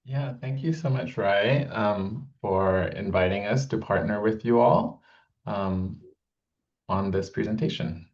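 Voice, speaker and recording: A man speaking as a guest attorney, measured and hesitant, with frequent "um"s and "uh"s. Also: on the low side, darkish tone, highs cut off. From Malaysia. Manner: measured, hesitant, many fillers.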